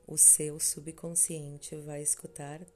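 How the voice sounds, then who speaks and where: A woman with a Brazilian accent leading a scripted guided meditation in a soothing, measured way, with long pauses between short phrases. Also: sits low, level tone, warm tone. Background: background music.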